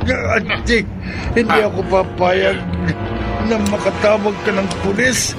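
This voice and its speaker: High-voiced, stammering young burglar. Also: male voice, fairly even intonation, measured pace, vocal fry. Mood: desperate, defiant. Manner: stammering, pleading. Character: nervous young male burglar, stammering and defiant. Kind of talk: radio drama acting. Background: music.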